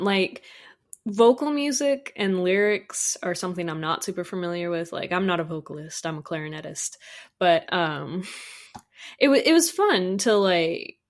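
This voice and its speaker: Woman guest on a podcast, slightly low voice, chatty and keen, real casual. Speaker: guest, audio drama composer. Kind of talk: casual podcast interview chat.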